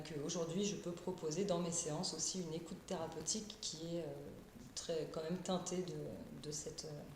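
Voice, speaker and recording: A woman from Paris talks as a guest on a live stream, searching for her words with lots of fillers and restarts. Her voice sits a bit low. The sound carries a roomy echo over a faint background hiss. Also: a little mellow. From Paris, France. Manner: hesitant, many fillers and restarts, shy. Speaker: art therapist, guest. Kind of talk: live-stream interview, casual.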